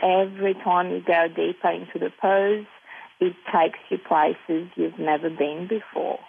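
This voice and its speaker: A woman from Serbia, a yoga teacher speaking as a panel guest in a measured way with frequent "um" fillers, heard over a tinny phone line. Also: lowish voice, fairly even intonation, vocal fry, background noise.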